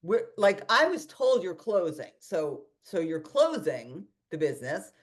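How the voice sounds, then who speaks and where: A woman's voice: fairly low pitch, sing-song, measured pace. A woman hosting a webinar conversation, telling a story animatedly with restarts and quoted asides, over a video call. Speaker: host and company founder.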